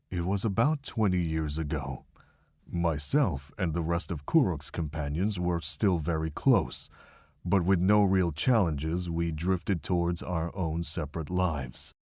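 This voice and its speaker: Casting: man to play wise, regretful middle-aged monk for a visual novel; very low, raspy register, gentle reflective storytelling. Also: no room sound, studio quality. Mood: confiding. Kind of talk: fan visual novel voice acting.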